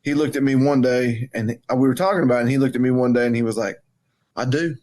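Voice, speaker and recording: A man's voice: mid-range voice, fast talker, darkish tone. A man chatting casually as a podcast co-host, blunt and joking, with plenty of swearing. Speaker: wrestling coach. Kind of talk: casual banter and storytelling.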